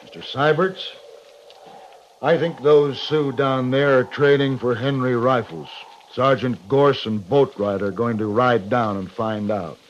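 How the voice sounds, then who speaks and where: An actor playing a stern, shrewd cavalry captain in a 1950s radio western, with a clipped, authoritative manner. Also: male voice, mid-range voice, steady tone, unhurried, close to an everyday voice. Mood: firm, calculating. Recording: dull, noisy 1950s radio recording.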